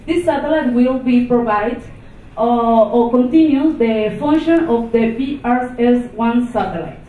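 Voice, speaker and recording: A woman's voice: on the high side, a little hiss or hum. Distant, echoey woman with a Venezuelan accent. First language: not English. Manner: halting, with many restarts and fillers. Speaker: conference presenter. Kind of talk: unscripted conference talk. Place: conference hall. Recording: echoey, distant, phone-like hall recording.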